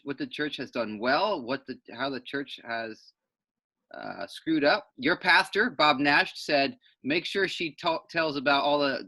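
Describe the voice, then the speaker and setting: A man's voice: mid-range voice, bright, forceful tone, no echo. A man speaking casually as an interviewer, in an informal conversational introduction.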